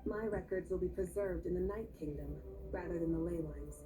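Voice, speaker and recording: An actress voicing a composed, confident female leader in a video game, speaking in a calm, direct and assured way. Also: lowish voice, a little fast, background noise, natural voice. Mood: calm, serious. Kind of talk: scripted video game dialogue.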